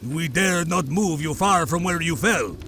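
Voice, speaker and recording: Casting: man as reverent medieval Norse villager, high creaky voice, humble audio drama subject, noisy recording. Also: a little slow, bright, forceful tone, full character voice. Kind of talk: comic book audio drama acting.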